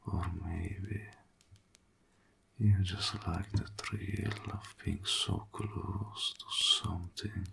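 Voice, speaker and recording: A man playing a seductive, menacing warlock in an intimate roleplay audio drama, smug and possessive, teasing in a gravelly, breathy half-whisper. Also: low-pitched, level tone, extremely slow, sharp tone, full character voice.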